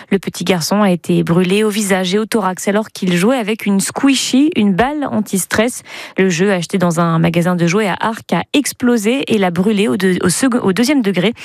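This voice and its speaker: A woman reading the morning radio news in a measured, crisp delivery. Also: mid-range voice, darkish tone, no echo. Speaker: newsreader.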